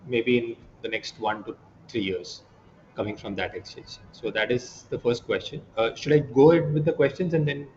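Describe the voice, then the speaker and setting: A man's voice: mid-range voice, moderate pace, a little mellow. A man with an Indian accent asking questions as an investment analyst on a conference call, polite and hesitant in his phrasing. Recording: muffled, noisy conference line.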